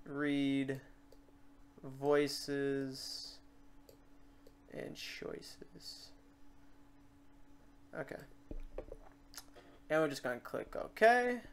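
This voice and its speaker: A man hosting a casual software tutorial, informal and rambling, thinking aloud as he works. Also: medium pitch, lively intonation, very slow, pauses often, a little hiss or hum. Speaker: tutorial host. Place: indoors at a computer.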